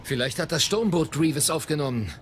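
An actor playing an alert, loyal Jedi battle companion in an audio drama, brisk and matter-of-fact. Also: male voice, fairly high pitch, lively intonation, natural voice. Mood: businesslike.

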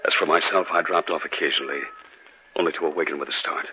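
An actor playing a skeptical, protective husband in 1950s radio drama style. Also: male voice, a bass voice, fairly even intonation, quick pace. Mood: grave narration. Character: skeptical husband, protective, narrating an eerie tale. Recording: dull 1950s radio recording with music.

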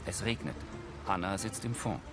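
A man's voice: low voice, normal speed, over loud music. A man reading scripted scene narration as an audio describer for a television crime series.

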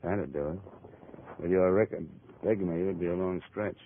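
Very low male voice, American, tough clipped detective, old radio drama, noisy muffled recording. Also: normal speed. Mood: terse, probing. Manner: clipped, hard-boiled. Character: tough, terse male insurance investigator. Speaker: lead actor. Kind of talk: 1950s radio detective acting and first-person narration.

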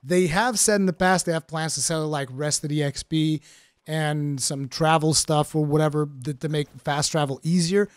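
Man, from Portugal, American-sounding accent, slightly higher voice, dry close sound, chatty game commentary. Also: steady tone, normal speed, clear, resonant tone, dry recording. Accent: American-sounding English. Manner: chatty, explanatory, frequent fillers. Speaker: gaming streamer. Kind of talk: casual game review commentary.

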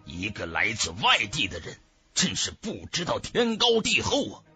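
An actor playing a domineering, scornful young martial-arts clan leader in an audiobook drama, with a raspy, forceful, menacing delivery. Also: male voice, medium pitch, huge pitch swings, moderate pace, faint music behind, heavily acted. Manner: commanding, menacing.

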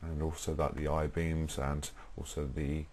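A young British man presenting a software tutorial, explaining informally step by step in a husky voice. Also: extremely low pitch, level tone, normal speed. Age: young adult.

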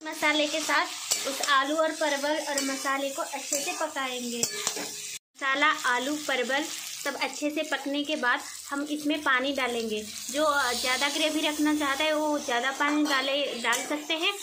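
A woman walks through a recipe in her home kitchen, her voice sitting high. She explains each step plainly, pausing now and then to find a word. Frying and sizzling sound clearly behind her. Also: steady tone, background noise. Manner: plain step-by-step instruction with hesitations. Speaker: cooking channel host. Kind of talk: home cooking video narration.

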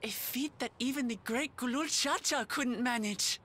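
A woman's voice: a little high, normal speed, fairly bright tone, a little hiss or hum, lightly acted. A woman playing a brash, earnest young warrior princess in a video game, bold and exuberant. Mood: rallying, triumphant. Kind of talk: dramatic video game acting.

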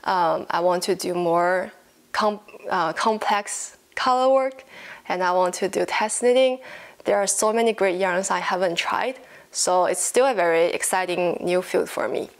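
Female vlogger, 20s, Chinese accent, lowish pitch with vocal fry, informal unscripted chat. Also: a little slow. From China. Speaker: knitting podcast host. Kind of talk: casual chat to camera. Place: living room at home, indoors.

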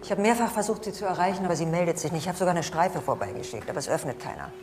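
Female detective played by an actress, TV crime drama: rapid-fire, businesslike, slightly low voice. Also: animated, close to an everyday voice. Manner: brisk, businesslike. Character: brisk, businesslike female police investigator. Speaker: police investigator. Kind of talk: naturalistic TV crime drama acting.

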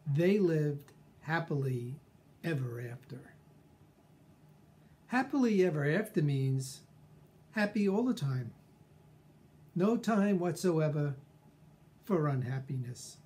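An older man in his sixties or beyond giving a reflective scripted monologue on personal growth, phrasing it deliberately with measured pauses. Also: fairly high pitch, lively intonation, a little mellow. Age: 60s or older. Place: small furnished room at home, indoors.

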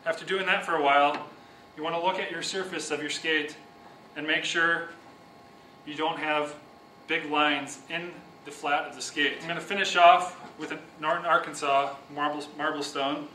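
A young man with a bright, fairly high voice explains a hands-on task, calm and step by step. He sounds set back from the camera microphone. The large room around him makes his voice ring with echo. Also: normal speed, distant mic, a little hiss or hum. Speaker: speed skating sharpening instructor. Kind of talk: instructional demonstration. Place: large office room with whiteboard and windows. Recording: echoey room, voice from off-mic.

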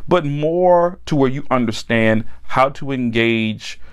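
A man preaching and praying as a pastor, earnest and deliberate in his phrasing. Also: mid-range voice, smooth. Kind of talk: sermon and spoken prayer.

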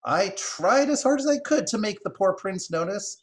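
A man doing an excitable, high-pitched lady squirrel. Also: moderate pace. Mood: excited. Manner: agitated, lamenting. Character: excitable, trembling, earnest female squirrel.

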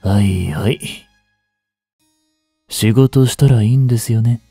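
An actor playing a rough young street punk working as a butler in an audio drama, blunt and teasing, with a grudgingly tender side. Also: male voice, lowish voice, slow, dark tone, no echo, soft background music, exaggerated voice. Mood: grudgingly soothing. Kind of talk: intimate Japanese audio drama acting addressed to the listener.

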